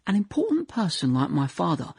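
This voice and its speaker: Radio drama lead: naive, rambling young man, slightly high husky voice, deadpan humour, chatty self-corrections. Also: a little fast, no room sound, heavily acted. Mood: deadpan reminiscing. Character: naive, rambling young man recalling his childhood under communism. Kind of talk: first-person radio drama monologue.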